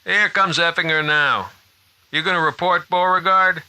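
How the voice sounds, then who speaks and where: A man's voice: fairly high pitch, steady tone, normal speed, sharp tone, clear, resonant tone, pitched above the performer's own voice. A man voicing a flustered male security guard with slangy, plain working-man talk, in an audiobook. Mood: flustered, defensive.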